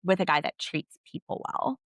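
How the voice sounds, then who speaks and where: A woman's voice: normal speed, fairly bright tone, no room sound, clean studio sound. A woman hosting a podcast, giving casual, opinionated solo recap commentary with a sardonic edge. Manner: opinionated, sardonic, quotes others conversationally. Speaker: podcast host.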